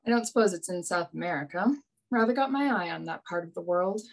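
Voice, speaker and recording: A woman's voice: fairly low pitch, expressive, quick pace, vocal fry. A woman playing a brash, wry young male adventurer in a radio play, with a dry, teasing manner. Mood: brash, eager.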